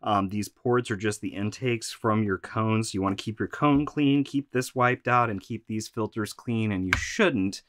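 A man's voice: on the low side, measured pace, few pauses, background noise. A man, a little congested from allergies, hosting a practical vacuum maintenance tutorial from a shop workroom. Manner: practical, instructive, conversational.

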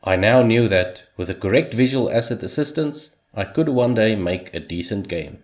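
A man whose first language is Afrikaans, narrating a scripted look back on a year of hobby game development with measured delivery and dry humor. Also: fairly low pitch, lively intonation.

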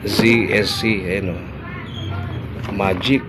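A man's voice: deep voice, steady tone, slow pace, background noise. A middle-aged Filipino man chatting casually through an unboxing vlog, repeating short phrases. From Philippines. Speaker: barber vlogger.

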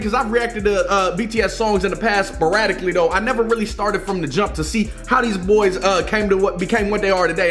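A young American man hosting a reaction video, loud, energetic and profane, talking straight to his viewers in a small indoor room. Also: very high voice. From United States. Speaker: YouTube reaction host. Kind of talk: hyped reaction video talk.

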